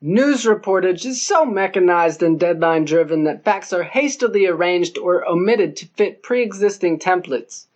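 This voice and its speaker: A man's voice: high voice, lively intonation, moderate pace, few pauses. Man narrating a novel, dry and wry. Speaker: audiobook narrator.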